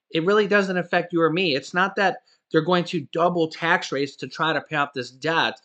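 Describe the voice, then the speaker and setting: Bright-voiced man in his forties. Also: on the high side. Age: 40s. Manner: emphatic, repeats "okay?" to drive points home; frequent restarts and self-corrections. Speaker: finance YouTuber. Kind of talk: casual commentary to camera.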